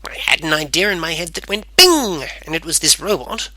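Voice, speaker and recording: A man's voice: on the high side, big pitch swings, a little fast, bright, forceful tone, dry recording, exaggerated voice. A man voicing an eager, proud amateur inventor in a narrated story. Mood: eager, earnest.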